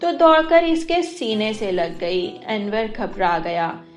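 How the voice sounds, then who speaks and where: A woman's voice: medium pitch, sing-song, slow pace. A woman storyteller reading a scripted moral tale as narration. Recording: roomy echo, music underneath. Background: soft music.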